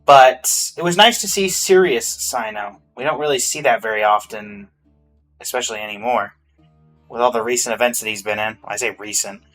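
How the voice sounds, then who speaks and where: A man's voice: medium pitch, normal speed, a bit bright, soft background music, poor audio. Casual man reacting to a game. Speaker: gamer reacting to a story quest.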